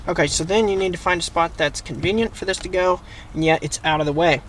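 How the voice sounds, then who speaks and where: A man's voice: fairly high pitch, fast talker, fairly bright tone, a little hiss or hum. A man hosting a casual hands-on how-to video, talking through each step and thinking aloud as he works inside a vehicle. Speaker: host of a how-to video channel. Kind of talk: casual hands-on tutorial narration. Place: inside or beside a parked vehicle.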